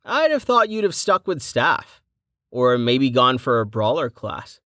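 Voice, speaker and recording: A polite, concerned young adventurer, as a narrator plays him, in an everyday voice. He speaks slowly, with animated pitch. The recording is dry and close. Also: no room sound.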